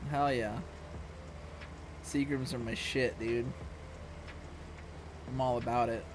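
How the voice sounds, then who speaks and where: A young man with a hoarse, scratchy voice, chatting casually with viewers during a live stream at home. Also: mid-range voice, fairly even intonation, slow. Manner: laid-back, chatty, talks to viewers. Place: room at home. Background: music playing.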